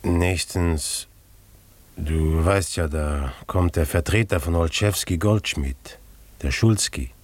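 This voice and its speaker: An actor playing a scheming, secretive village innkeeper in debt, in a German radio drama, with an insistent, calculating manner. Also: male voice, low voice, slow pace. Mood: coldly insistent. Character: scheming, debt-ridden village innkeeper coldly plotting a crime.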